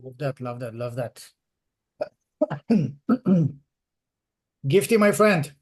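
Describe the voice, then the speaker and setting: A man's voice: a little high, animated, very slow, darkish tone. A man hosting a group call, effusive in greeting and praising guests. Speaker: host of the call.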